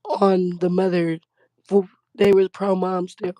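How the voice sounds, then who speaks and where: Woman guest with a fading, mellow voice. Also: lowish voice, little pitch movement, slow pace, dry recording. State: voice going hoarse. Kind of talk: casual livestream chat.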